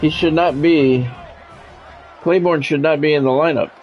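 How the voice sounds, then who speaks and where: Male game streamer, fairly high register, sportscaster-style calls with salty off-the-cuff commentary, music in the background. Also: fairly even intonation, normal speed, dull audio, a faint crowd. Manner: announcer-style delivery with wry, grumbling, profane asides. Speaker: gaming streamer doing play-by-play commentary. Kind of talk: sports play-by-play commentary mixed with casual asides.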